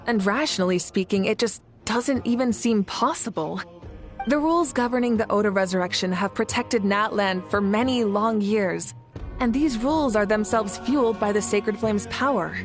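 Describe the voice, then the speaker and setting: A woman's voice: medium pitch, lightly acted. An actress voicing a confident, easygoing female leader in a video game, assured and informal in her reassurance. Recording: background music under dialogue.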